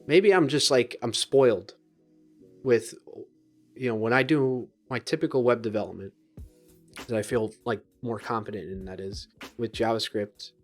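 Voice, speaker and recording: Man, mid-pitched voice, live-coding streamer, casual, thinking aloud, restarts sentences, faint music behind. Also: moderate pace. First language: English. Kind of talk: live coding stream chat, reading viewer comments aloud.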